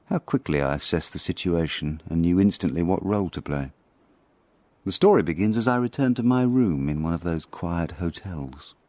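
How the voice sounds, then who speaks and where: A man's voice: sits low, lively intonation, normal speed, darkish tone. An actor with an English accent playing an urbane spy narrating his memoir in a radio drama, articulate, wry and confiding.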